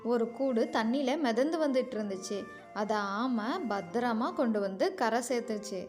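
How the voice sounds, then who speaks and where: A female storyteller narrates a scripted tale for children. Her voice is a little high, and she explains things in simple phrasing. Faint music plays behind her. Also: normal speed.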